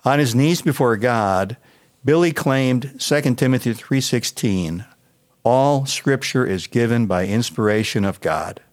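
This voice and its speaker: An American man, a pastor, reading a scripted devotional in a measured, earnest manner. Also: mid-range voice, animated, no room sound.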